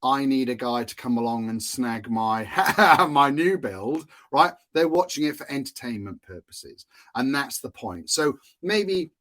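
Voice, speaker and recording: A Welsh man talks through a solo podcast episode in a loose, chatty way. He restarts sentences, drops in fillers and chuckles at his own asides. His voice sits at an ordinary middle pitch for a man. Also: animated, a little slow, fairly bright tone. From Wales. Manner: chatty, self-amused, laughs often, many fillers and restarts. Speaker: podcast host, marketing consultant.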